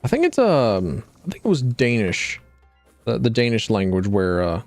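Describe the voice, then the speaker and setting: A man's voice: mid-range voice, huge pitch swings, moderate pace, darkish tone, dry recording, faint music behind. A man streaming a video game, chatting casually in a rambling, wry way and often correcting himself. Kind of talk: casual gameplay commentary.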